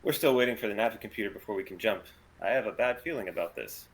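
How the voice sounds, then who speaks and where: A man's voice: lowish voice, lively intonation, fast talker, low-quality recording. A man playing an urgent, wary starship captain and agent in an amateur sci-fi table read, with clipped delivery. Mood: worried.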